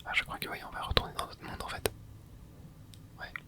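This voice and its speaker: A host whispering casual ASMR commentary over gameplay, reacting excitedly and chatting to viewers. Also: a little fast. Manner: casual, chatty, self-correcting. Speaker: channel host.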